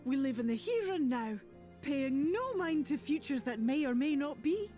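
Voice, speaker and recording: A woman's voice: high-pitched, sing-song, moderate pace, dark tone, faint music behind. A woman voicing a capricious, ageless fairy in a fantasy game, with lilting, formal, archaic phrasing. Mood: aloof, airy. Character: capricious ageless female fairy, playful and aloof. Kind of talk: scripted fantasy game acting.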